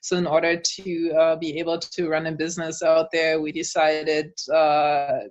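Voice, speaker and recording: German-accented woman, flat even speech. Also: sits low, moderate pace, muffled recording. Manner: thoughtful, many fillers, laughs often. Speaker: author and translator guest. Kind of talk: casual interview chat.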